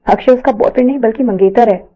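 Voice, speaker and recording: A woman reading a scripted story aloud as an expressive narrator. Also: on the high side, fast talker, lo-fi sound.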